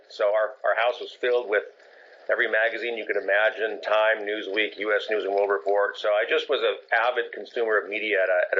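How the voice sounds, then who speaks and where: A man from Japan, a film producer, answering interview questions in a relaxed, storytelling way with many fillers. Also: lowish voice. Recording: dull lo-fi podcast audio.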